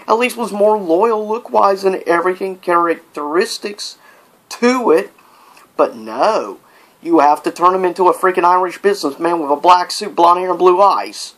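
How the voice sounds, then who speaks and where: Casual, higher-voiced man vlogging his opinions. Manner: informal, exasperated rhetorical questions, rambling asides. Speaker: video vlogger, host. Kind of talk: casual, opinionated top-list vlog commentary.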